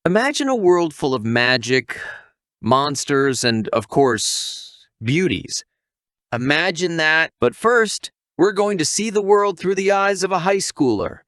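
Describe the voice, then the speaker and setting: Slow, animated male storytelling narrator. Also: fairly high pitch, dry recording, studio quality. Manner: playful, theatrical, addresses listeners directly. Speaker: narrator of an anime recap. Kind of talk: humorous scripted storytelling narration.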